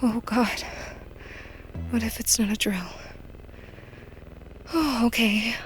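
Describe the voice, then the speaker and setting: A woman's voice: on the high side, takes it slowly, over music, rough recording. A woman playing a nervous new office worker, hesitant and muttering to herself, in a naturalistic audio drama. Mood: frightened.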